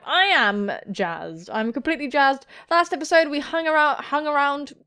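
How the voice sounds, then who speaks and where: A woman hosting a let's play, joking bawdily and excitably as she reads visual novel text aloud. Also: high voice. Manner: bawdy, excitable, self-mocking joking. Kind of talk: playful gaming commentary while reading visual novel text aloud. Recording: music playing behind the voice.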